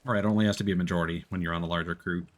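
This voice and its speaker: A man's voice: low voice, fairly even intonation, racing, background noise. A man hosting a game livestream, chatting casually in an easygoing, hesitant way with trailing sentences. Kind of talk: casual gaming chat.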